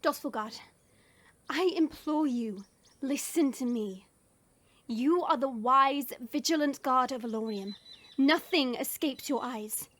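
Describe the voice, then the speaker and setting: A woman's voice: sits high, takes it slowly, fairly bright tone, full character voice. A woman playing a proud, determined dragon princess cursed into human form in a fantasy audio drama, earnest and regal. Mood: pleading, solemn. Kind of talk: fantasy audio roleplay acting.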